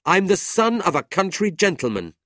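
Man playing an indignant young gentleman, raised pitch. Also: big pitch swings, a little slow, breathy edge, no room sound, clean studio sound. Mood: furious. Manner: earnest, emphatic, challenging. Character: indignant, honourable young gentleman defending his sister. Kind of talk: audiobook character voice.

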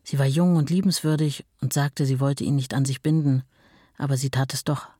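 A woman's voice: extremely low pitch, fairly even intonation, brisk, warm tone, husky, dry recording, studio quality. An actress playing a sorrowful, plainspoken ex-wife reminiscing about her marriage in a documentary-style radio play. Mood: bitter sorrow.

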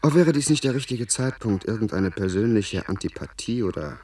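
Insistent, husky, insinuating tempter. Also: male voice, fairly low pitch, lively intonation, moderate pace, dark tone, far from the mic. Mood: persuasive, approving. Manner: persuasive, insinuating, theatrical. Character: smooth-talking, insistent inner tempter urging a young lord to duty. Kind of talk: German radio play acting.

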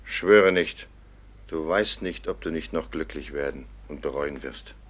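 Deep, forceful robber henchman. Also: unhurried, exaggerated voice. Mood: grave. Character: blunt, hot-blooded robber henchman, loyal to his captain. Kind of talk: classic German radio play acting.

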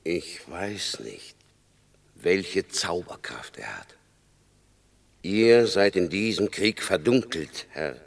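An actor playing a cautious, deferential lieutenant in a classical verse radio drama. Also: male voice, sits low, expressive, takes it slowly, vocal fry, long pauses, full character voice. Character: loyal male lieutenant, cautious.

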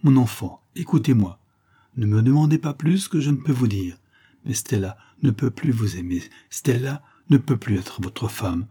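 Man as a husky, grieving motherly old nursemaid. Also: medium pitch. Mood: grave, sorrowful. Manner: slow, calm, pained, solemn. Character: kindly sorrowful old nursemaid woman, motherly and grave.

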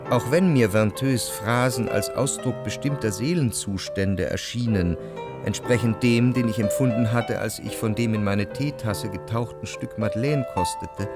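An actor playing an introspective, doubting gentleman who narrates his love in a literary radio drama. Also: lowish voice, moderate pace, close to an everyday voice. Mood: reflective, doubting. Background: music.

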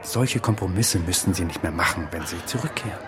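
Actor as smooth, insinuating regime emissary, low voice. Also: male voice, a loud crowd, very noisy, close to an everyday voice. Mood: smooth persuasion. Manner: polite, measured, insinuating. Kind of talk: German radio drama acting.

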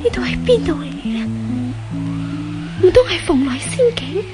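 Repentant timid young hong kong cantonese woman, high voice, earnest 70s radio drama acting, guitar-like music under it. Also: very animated, moderate pace. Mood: hushed wonder. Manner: earnest, wondering, theatrical phrasing.

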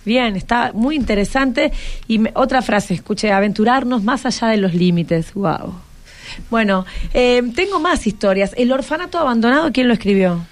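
A woman's voice: fairly high pitch, lively intonation, normal speed. A woman with an Argentine accent hosting a casual, educational radio interview, encouraging and full of short questions. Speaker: radio host interviewing schoolchildren.

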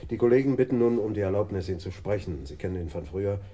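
Very dark-voiced, courteous policeman. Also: lowish voice, lively intonation, fast talker, husky. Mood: courteous, formal. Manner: polite, formal reporting. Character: courteous male Amsterdam police officer, deferential, reporting. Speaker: radio drama actor. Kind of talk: German radio crime drama acting.

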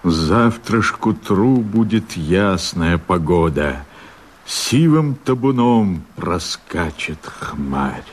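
An actor playing an earthy, wild-hearted peasant rebel from the steppe in a radio verse drama, speaking in slow, weighty, poetic declamation with a husky voice. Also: male voice, sits low, animated, heavily acted. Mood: sly, eager.

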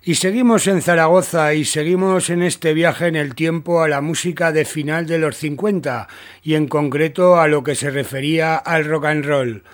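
Man, Spain accent, slightly high voice, music radio presenter, semi-scripted delivery. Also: fairly even intonation, moderate pace. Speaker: radio host of a vintage music show.

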